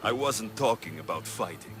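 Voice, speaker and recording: An actor playing a cocky, flirtatious and fearless Greek mercenary in a video game, confident and teasing. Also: male voice, on the low side, animated, normal speed, over music, lightly acted. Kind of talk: video game cutscene acting.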